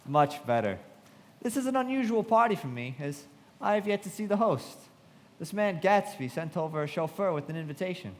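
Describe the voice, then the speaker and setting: An actor playing a reflective, polite young man who narrates a radio play in measured storytelling. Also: sits high, lively intonation. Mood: polite curiosity. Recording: reverberant live hall.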